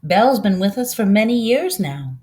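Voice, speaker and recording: Woman voicing a kindly old farm woman, animated lilt. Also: mid-range voice, voice pushed up, close to an everyday voice. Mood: proud storytelling. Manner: folksy, unhurried storytelling.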